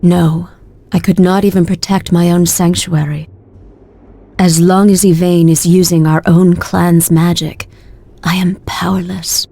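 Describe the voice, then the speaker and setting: Husky, breathy solemn nature priestess. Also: female voice, lowish voice, flat delivery, dark tone. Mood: resigned. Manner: grave, measured. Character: solemn mystic nature priestess, wounded and fading. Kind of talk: dramatic video game acting.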